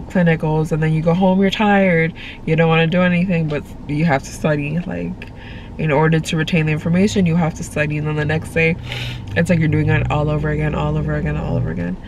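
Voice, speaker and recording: A woman's voice: low-pitched, poor audio. A congested young woman vlogging in a parked car, chatting in a rambling way with many fillers, recorded on a phone held at arm's length. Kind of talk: casual chat to camera. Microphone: phone camera at arm's length. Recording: faint background noise in a car.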